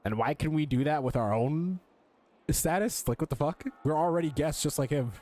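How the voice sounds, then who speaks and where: A man's voice: fairly high pitch, moderate pace, a little mellow. A man giving casual, slangy, incredulous commentary as he streams a game, swearing freely. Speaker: streamer reacting to a game story.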